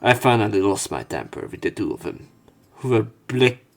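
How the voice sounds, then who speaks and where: A man's voice: fairly low pitch, expressive, moderate pace, soft background music. Sweary man doing game commentary. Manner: sweary, sarcastic. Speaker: let's play host. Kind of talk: casual game commentary, reading dialogue aloud.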